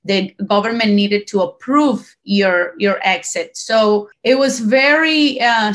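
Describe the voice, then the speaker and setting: A middle-aged woman speaks in a medium-pitched voice with a Latin American Spanish accent. She is an interview guest recounting personal experience in her second language. Her delivery is earnest and halting, as she searches for the right words. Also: fairly bright tone. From Cuba, grew up partly in Costa Rica. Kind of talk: personal account in a conversational interview.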